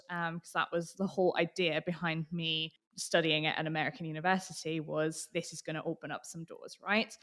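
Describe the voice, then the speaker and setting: British-accented woman chatting in an interview. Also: medium pitch. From Austria. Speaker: podcast guest, marketing professional. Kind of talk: casual interview chat.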